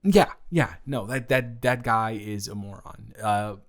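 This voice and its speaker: A young man playing an awkward, playful, smitten roommate in an improvised romantic audio roleplay, talking in a halting, rambling way with emphatic bursts. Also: lowish voice. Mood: flustered, dismissive. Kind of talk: improvised romantic audio roleplay, intimate one-sided conversation.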